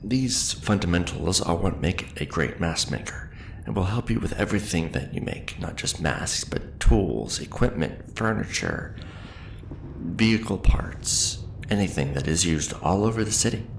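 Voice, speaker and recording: A man doing a soft-spoken ASMR roleplay as a veteran craftsman, patiently and encouragingly instructing an apprentice in measured phrases. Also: low voice, animated. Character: veteran mask maker patiently training a new apprentice. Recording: roomy echo with clear background noise.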